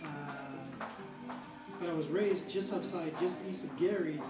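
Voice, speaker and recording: A middle-aged man from the American Midwest shares personal stories as an interview guest. He talks conversationally and leans on repeated phrases. The room is echoey, the mic sits at a distance, and music plays clearly behind him. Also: on the high side, a little slow. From Midwestern United States.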